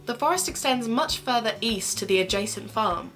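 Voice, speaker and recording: A woman's voice: a little high, expressive, normal speed, a bit bright, faint music behind. A woman playing a curious, upbeat amateur sleuth and podcaster in an audio drama, speaking in a friendly, informal way. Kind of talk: conversational audio drama acting.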